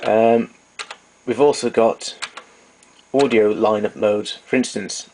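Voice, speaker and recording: Man, lowish flat delivery, hesitant hands-on technical explainer, faintly noisy homemade recording. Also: slow. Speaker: tape recorder repairer giving a demonstration. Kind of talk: informal technical demonstration. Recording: noisy amateur recording with clicks.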